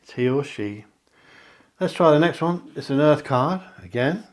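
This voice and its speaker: A middle-aged man, a psychic reader, chatting through a card reading with reassuring asides and a slightly hoarse voice. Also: on the high side, expressive, moderate pace. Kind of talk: tarot card reading to camera.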